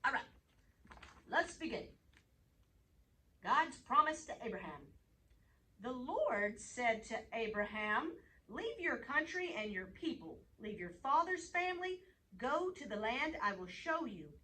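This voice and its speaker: Female children's storyteller, bright and fairly high, animated delivery, picked up from a distance with faint noise. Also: measured pace, pauses often. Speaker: host, children's Bible storyteller. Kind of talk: reading bedtime Bible stories to children, chatting with a puppet.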